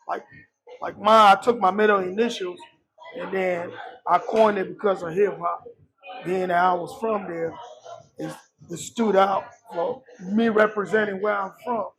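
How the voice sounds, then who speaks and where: A middle-aged man from Liberia, a rapper answering interview questions in a soft, halting way with many fillers. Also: high-pitched, steady tone, low-quality recording. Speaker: rapper, guest. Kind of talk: casual interview.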